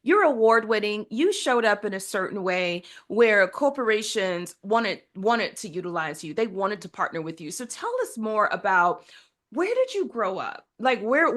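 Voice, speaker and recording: A woman's voice: medium pitch, animated, a little slow, fairly bright tone. A woman hosting an interview, chatty and enthusiastic, asking long layered questions. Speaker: podcast host. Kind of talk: casual interview chat.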